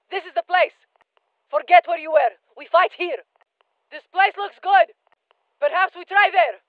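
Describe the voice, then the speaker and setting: A clipped, commanding soldier. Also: female voice, high voice, fairly bright tone, dry recording, poor audio. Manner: clipped tactical callouts. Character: tough female special forces operator, commanding. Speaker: game operator voice lines. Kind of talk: video game voice lines. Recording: dry studio recording.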